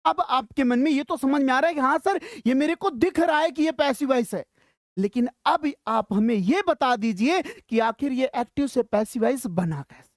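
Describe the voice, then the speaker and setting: A woman's voice: high voice, expressive, normal speed, no room sound, studio quality. A woman with an Indian accent teaching an informal lesson, chatty and encouraging, often checking that learners follow. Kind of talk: informal grammar lesson mixing Hindi and English.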